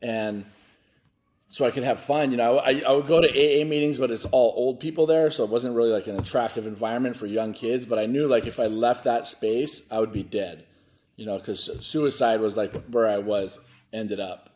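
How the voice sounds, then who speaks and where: A man in his forties from Hawaii talks over a video call, earnest and halting. His voice sits at an ordinary middle pitch, and he often restarts his sentences as he gives his story. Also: darkish tone. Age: 40s. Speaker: nonprofit foundation director, guest. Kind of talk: candid interview talk.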